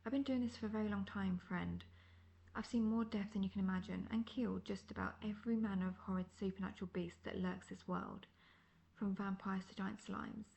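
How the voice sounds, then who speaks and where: Casting: actress as veteran female monster hunter, wry and self-assured, creaky mid-pitched voice, fantasy audio roleplay. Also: steady tone, a little fast, darkish tone, close to an everyday voice. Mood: cocky. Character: seasoned, cocky, world-weary female monster hunter, friendly mentor.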